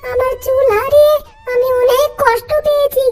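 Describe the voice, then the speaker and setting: Kind young cartoon heroine, extremely high squeaky pitch, polite, earnest, music underneath. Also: female voice, flat delivery, moderate pace, a little mellow. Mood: earnest pleading. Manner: earnest, deferential, sweet pleading.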